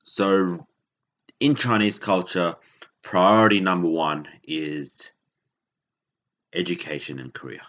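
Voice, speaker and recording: A man from Indonesia hosts a casual podcast monologue. His voice sits extremely deep, and he talks haltingly, often stopping to correct himself. The recording sounds dull and lo-fi. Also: fairly bright tone. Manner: rambling, self-correcting, halting. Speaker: podcast host.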